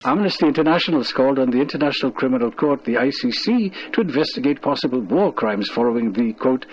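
A man reading a scripted news report as a radio reporter. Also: mid-range voice. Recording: dull, noisy shortwave radio broadcast.

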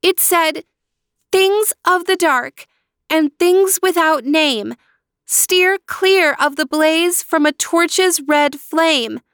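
A woman voicing a young girl trapped by a spell, pleading with her brother in a high, urgent voice. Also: takes it slowly, fairly bright tone, no room sound, studio quality, exaggerated voice.

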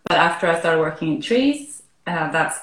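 A woman from Norway chatting casually as an interview guest, relaxed and full of "like" and "um", heard over a remote call. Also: low voice, normal speed, roomy sound. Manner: relaxed, frequent "like" and "um". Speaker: arborist guest.

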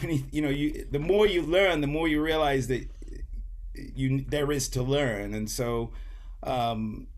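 A man's voice: medium pitch, a little mellow. Middle-aged guy from saint kitts, musician guest, rambles and restarts a lot, laughs here and there. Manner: rambling, self-interrupting, laughs often. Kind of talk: relaxed interview.

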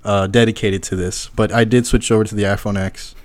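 A man's voice: on the low side, flat delivery, fairly quick, darkish tone. A man co-hosting a podcast in casual chat, rambling with restarts and frequent laughs. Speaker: podcast co-host.

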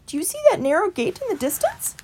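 Woman playing a brisk, very high little mouse, helpful, quick. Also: huge pitch swings, a little mellow, full character voice, low-quality recording.

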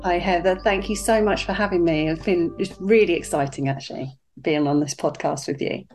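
A woman's voice: on the low side, a little fast, darkish tone, faint music behind, rough recording. A British woman talking casually as a podcast guest, chatty and easygoing. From United Kingdom. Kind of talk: casual interview chat.

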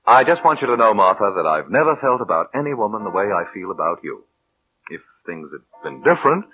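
An American actor playing a bitter, fearful schemer with intense, dramatic delivery in mid-century radio suspense style. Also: male voice, lowish voice, very animated, brisk. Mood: tender, uneasy. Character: bitter, fearful man, scheming lover turned accuser. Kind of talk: 1940s-50s American radio suspense acting. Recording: muffled vintage radio recording.

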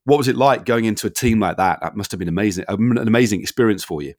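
Low-voiced, chatty male interviewer. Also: fast talker, a little mellow, no room sound, studio quality. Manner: chatty, rambling questions with many fillers. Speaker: interviewer, podcast host. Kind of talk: casual interview.

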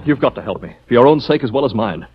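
Actor as hunted man, rushed pleading, swooping pitch, husky, 1940s radio drama, muffled recording. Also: animated, noisy background, close to an everyday voice. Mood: urgent. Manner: urgent, breathless pleading.

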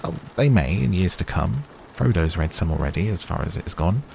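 A man's voice: low voice, animated, brisk, gravelly, darkish tone. A man voicing an old hobbit in a story reading, fussy, stubborn and testy, with a defensive manner, on a noisy recording. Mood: wistful. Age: older. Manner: stammering, impatient, defensive.

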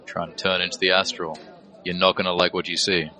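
A man's voice: sits low, little pitch movement, fairly quick, pushed and bright, soft background music. A man playing a wary, protective mentor and crew leader who speaks in a stern, admonishing way in an audio drama. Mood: stern, warning.

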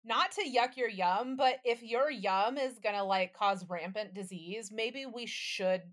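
A woman's voice: fairly high pitch, unhurried. A woman co-hosting a podcast in casual banter, with a wry, quippy way of talking. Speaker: podcast co-host.

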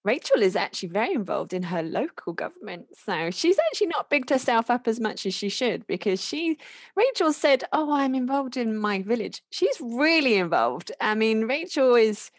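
A woman's voice: a little high, very animated, moderate pace, no room sound, studio quality. A woman co-hosting a casual podcast chat, speaking in a hesitant, praising way with many restarts.

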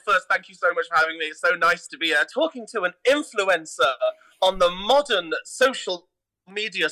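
A middle-aged man, telling stories casually as an interview guest, in an animated way. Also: a little high, rough recording. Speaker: theatre artistic director, podcast guest.

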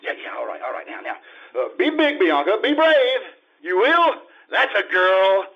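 A muffled live stage recording with some hiss carries a man acting a flustered husband from a screwball radio comedy. His voice sits high and swoops about as he stammers and dithers in exasperation. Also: huge pitch swings, normal speed, heavily acted. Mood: nervous rehearsing. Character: flustered, stammering newlywed husband turned accidental bigamist.

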